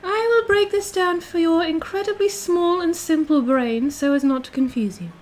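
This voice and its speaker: A woman's voice: very high-pitched, a little mellow. A British woman playing a bossy, condescending aristocratic girlfriend called a duchess, speaking imperiously to her livestream viewers. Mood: scornful, imperious. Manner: imperious, pompous, condescending, drawn-out emphasis. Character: haughty, bossy aristocratic dominant girlfriend calling herself Duchess. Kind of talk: in-character roleplay livestream, reading and answering chat.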